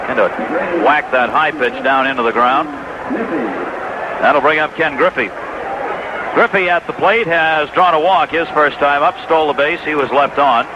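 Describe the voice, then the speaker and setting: A man's voice: fairly high pitch, moderate pace, long pauses, highs cut off. Man calling baseball on noisy old radio. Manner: clipped descriptive phrases. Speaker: baseball play-by-play announcer. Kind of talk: live 1980s radio sports commentary. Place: stadium broadcast booth.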